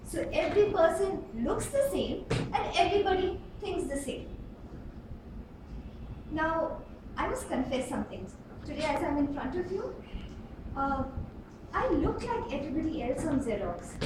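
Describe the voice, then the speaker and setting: A woman delivers a rehearsed tall tale in a very high, animated voice, pausing for dramatic emphasis like a practiced contest speaker. She is recorded from a distance in a club room, with noticeable noise behind her. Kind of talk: rehearsed storytelling speech to a live club. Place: indoor club meeting room. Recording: roomy, distant mic with clear background noise.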